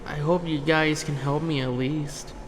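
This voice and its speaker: A man's voice: a little high, fairly even intonation, measured pace, close to an everyday voice. A man playing an unsure, polite young newcomer in an audio drama, speaking in a hesitant, subdued manner. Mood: uncertain, polite. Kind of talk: scripted fan audio drama acting. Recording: reverberant hall, faint noise.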